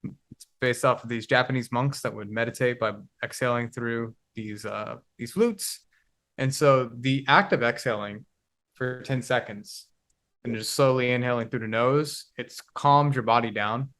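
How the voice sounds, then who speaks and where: A man in his twenties, a podcast guest, talking casually in a reflective, halting way as he searches for words. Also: medium pitch, fairly bright tone. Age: 20s. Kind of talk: casual conversation.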